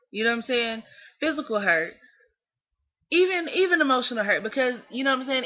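Emphatic young Southern woman on a lo-fi webcam. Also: on the high side. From Southern US, Kentucky. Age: young adult. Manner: emphatic, scolding, repeats "you know what I'm saying". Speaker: vlogger. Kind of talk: opinionated webcam rant. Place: small dim room indoors. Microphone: webcam close up. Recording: dull lo-fi webcam audio.

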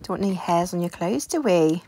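A woman's voice: on the low side, lively intonation, a little fast, mellow, poor audio. A woman playing a friendly, gushing doll hairdresser in an improvised doll story, chatting and reassuring her clients. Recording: music behind the voice.

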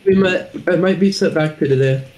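A man chatting excitedly with friends over voice chat during an online game. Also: a little high, fairly quick. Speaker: gamer in voice chat.

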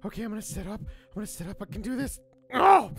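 A man's voice: sits high, very animated, fast, gravelly, exaggerated voice. A man playing a flustered, exasperated teacher who stammers and interrupts himself, in an improvised comic cartoon style. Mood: panicked. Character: flustered, exasperated male teacher hunting for his costume. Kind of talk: improvised comic character acting.

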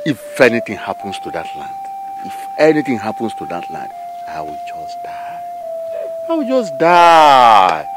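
A man's voice: on the low side, sing-song, a little fast, background noise. A man playing a bitter, scolding older Igbo father in a film drama, with a Nigerian Igbo accent and an emphatic, repetitive way of reproaching. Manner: emphatic, repeats himself reproachfully. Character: bitter, scolding old Igbo father blaming his wife. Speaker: actor.

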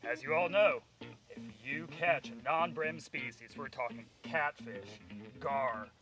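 A man's voice: medium pitch, unhurried, over music, poor audio. A man acting as referee of a fishing contest outdoors by a pond, talking in a mock-strict, theatrical way. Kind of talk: playful outdoor commentary.

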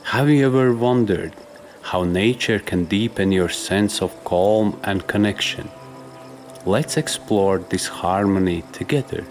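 A man leading a scripted guided meditation in a soft, measured, instructional way, leaving pauses between phrases. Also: on the low side, soft background music. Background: trickling stream and nature ambience.

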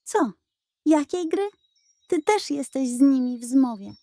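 A woman's voice: high-pitched, animated, unhurried, mellow, dry recording, voice pushed up. A woman voicing a grumpy old male turtle who scolds and growls indignantly in a children's story. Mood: angry, scolding.

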